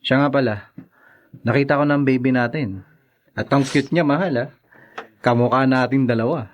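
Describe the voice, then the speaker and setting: A man's voice: mid-range voice, normal speed, lo-fi sound, natural voice. A man with a Filipino accent playing a flippant, happy-go-lucky young father in a radio drama. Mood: delighted. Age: young adult. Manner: wheedling, flippant.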